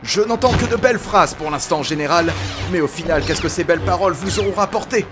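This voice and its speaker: A man voicing a defiant, eloquent Jedi warrior who taunts his foe, in a French animated sci-fi fandub. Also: high-pitched, fast, music in the background, lightly acted. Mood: mocking.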